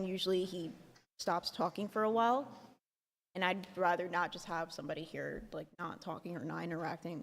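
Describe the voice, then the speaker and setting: A woman hosting a casual talk show, rambling along with many "like"s and "um"s. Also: on the low side. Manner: rambling, filler-heavy, lots of "like" and "um". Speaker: podcast host. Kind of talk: casual chat. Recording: long hall reverb.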